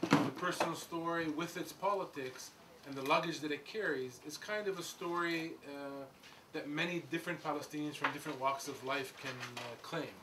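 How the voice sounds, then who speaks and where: A middle-aged American man talks to a visiting group in a relaxed, conversational way, walking them through his own story with small asides. His voice sits a little high for a man. The mic is some distance away and clear room noise runs under him. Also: moderate pace. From United States. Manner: conversational, explains step by step with asides. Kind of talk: informal talk to a group.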